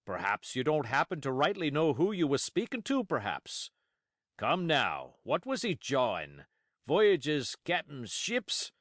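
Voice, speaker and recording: A man's voice: huge pitch swings, a little slow, a bit bright, no echo, clean studio sound, full character voice. Man playing a blustering one-legged sea cook. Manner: bluff, booming pirate talk.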